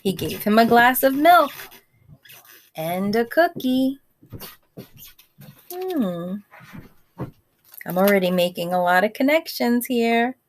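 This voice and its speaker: A woman's voice: a little high, expressive, slow, long pauses, a little hiss or hum. A woman reading a picture book aloud to young children, expressively, with conversational asides and questions to the listeners.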